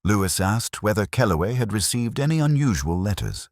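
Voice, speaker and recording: A man narrating a scripted first-person detective story in a measured, brooding, literary manner. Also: lowish voice, sing-song, dry recording, studio quality.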